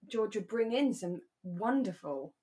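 A British woman chatting reflectively as a podcast co-host, in a relaxed conversation. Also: mid-range voice, unhurried, poor audio. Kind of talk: relaxed conversational discussion of a radio drama.

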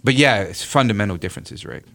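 Deep-voiced middle-aged British man. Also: expressive, fast talker, a bit bright. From United Kingdom. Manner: hesitant, many fillers and restarts, chuckling asides. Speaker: political science professor. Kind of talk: radio interview guest.

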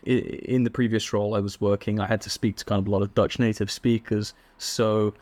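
A young man from England with an English accent, a guest telling stories in a relaxed, chatty way with frequent laughs and fillers. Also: fairly low pitch, a little fast, dry recording. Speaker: podcast guest. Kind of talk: casual interview chat.

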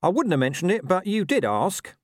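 An earnest, good-natured young man, acted by a male narrator in a storybook reading. He speaks politely and straightforwardly, pitched up with lively movement. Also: brisk, no echo, clean studio sound. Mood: earnest, puzzled.

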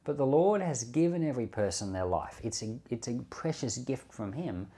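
A man's voice: medium pitch, expressive, moderate pace, mellow. A man speaking as a pastor on a Bible podcast, explaining scripture in a conversational way with rhetorical questions. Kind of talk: Bible teaching podcast with commentary and prayer.

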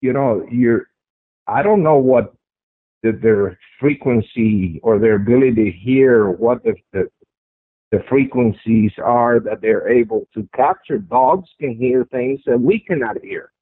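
A thin, phone-like line carries a man's strong, mid-pitched voice, close and dry. He talks as an interview guest, telling his own story conversationally. He repeats words and phrases to drive his points home. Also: a little slow, no echo. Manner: emphatic, with repeated phrases for stress. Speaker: interview guest recounting a personal sighting. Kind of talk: conversational first-person storytelling. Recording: tinny phone-like radio line.

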